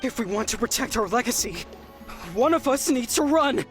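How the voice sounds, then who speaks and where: A woman's voice: fairly high pitch, expressive, moderate pace, faint music behind, lightly acted. A woman voicing a skittish, easily panicked priestess in a video game. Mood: panicky.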